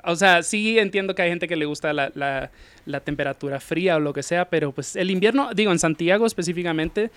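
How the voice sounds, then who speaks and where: A man's voice: on the high side, fairly quick, a bit bright, clear, resonant tone, dry recording. A man hosting an interview, talking in a hesitant way, often restarting his sentences. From not Chilean, lived in Chile. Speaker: interviewer host. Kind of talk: casual interview chat.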